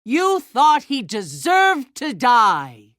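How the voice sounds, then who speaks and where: An actress playing a calculating, self-righteous leader of a survivor community in a video game, speaking in a measured, condescending, politician-like way. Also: female voice, sits high, wildly swooping pitch, a bit bright, no echo, clean studio sound, exaggerated voice. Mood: commanding, accusing. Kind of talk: dramatic video game voice acting.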